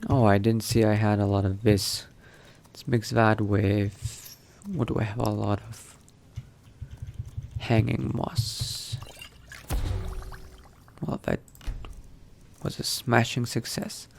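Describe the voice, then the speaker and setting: A man narrating his role-play gameplay in character, musing to himself in an unhurried, gravelly voice. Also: lowish voice, fairly even intonation, darkish tone, slight background noise. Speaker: gaming video host, role-playing player.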